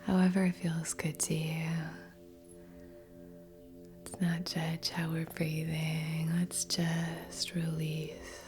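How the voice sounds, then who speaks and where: Female ASMR Reiki guide: breathy whisper, very level pitch, gentle and unhurried, over clearly audible music. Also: monotone. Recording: soft music under voice. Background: background music.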